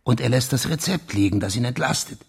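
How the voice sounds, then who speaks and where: An actor playing a police official in a German crime radio drama, insistently laying out his theory with a husky, gravelly voice. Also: medium pitch, animated, a little fast, exaggerated voice. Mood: grave, deducing.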